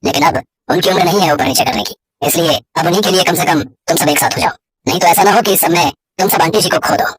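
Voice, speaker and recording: A woman's voice: lowish voice, fast talker, breathy edge. A woman voicing a concerned male family doctor who gives earnest advice in a cartoon story. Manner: earnest, advisory.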